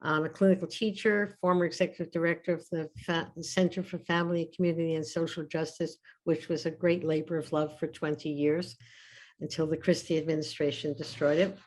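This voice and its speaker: An older woman, a family therapist from Brooklyn, introducing herself on a video call with many pauses and fillers. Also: sits low, little pitch movement, a little mellow, poor audio. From Brooklyn, New York. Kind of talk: casual self-introduction.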